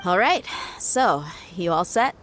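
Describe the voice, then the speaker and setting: Breezy brash mercenary, low voice. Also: female voice, expressive, slow, fairly bright tone, a little hiss or hum. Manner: breezy, joking, laughs easily. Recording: game music behind.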